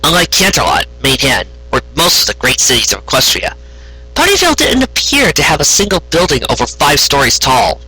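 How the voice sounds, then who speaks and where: A man narrating a scripted story aloud in a rough, husky voice. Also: a little high, wildly swooping pitch, fairly quick, pushed and bright, no room sound, rough recording. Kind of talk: scripted fan fiction narration.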